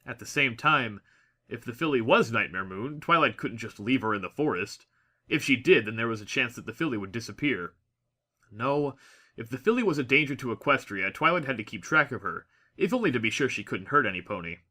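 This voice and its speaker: Bright-voiced man narrating a dramatic story. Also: medium pitch, expressive, brisk. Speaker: audiobook narrator.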